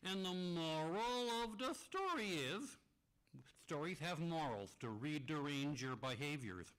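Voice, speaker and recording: Puppeteer playing a goofy moose puppet, slightly high and bouncy, folksy grammar, storybook telling. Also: male voice, fairly bright tone, clean tone, poor audio. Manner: playful, folksy broken grammar, acts out sobbing and voices. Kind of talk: telling a silly children's tale.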